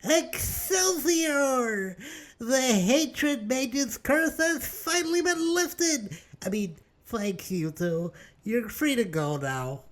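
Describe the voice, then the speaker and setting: Man voicing a theatrical, nerdy young intern, swooping pitch. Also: lively intonation, drawn-out words, fairly bright tone, exaggerated voice. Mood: overjoyed. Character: aggrieved nerdy male intern, easily overjoyed. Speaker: voice actor. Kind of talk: amateur web cartoon acting.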